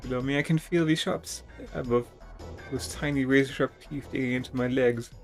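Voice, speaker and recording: A man's voice: mid-range voice, steady tone, moderate pace, faint music behind. A man reading a text adventure game aloud in a dramatic storytelling way, now and then tripping over words. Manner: theatrical, stumbles over words while reading.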